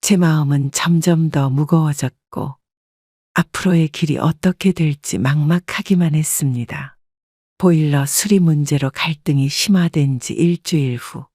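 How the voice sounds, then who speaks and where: A woman calmly narrating a first-person life story in a measured, scripted way. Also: low voice, dry recording, studio quality.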